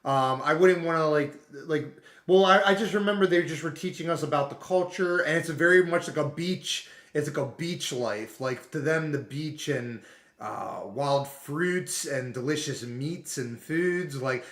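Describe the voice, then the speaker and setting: Rambling streamer man, slightly high voice. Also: a bit bright, echoey room. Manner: rambling, full of "like" and restarts. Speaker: streamer reacting to a video. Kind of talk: casual reaction commentary.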